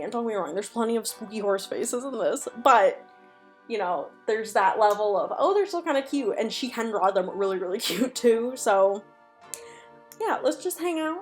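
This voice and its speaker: A woman giving casual, chatty commentary as a video host, speaking with enthusiasm and emphasis. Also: mid-range voice. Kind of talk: casual commentary on an online animated horror series.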